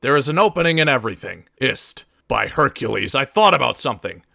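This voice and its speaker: A man's voice: medium pitch, expressive, brisk, creaky, bright, forceful tone, no echo, clean studio sound. A man doing a scripted reading of game monologues.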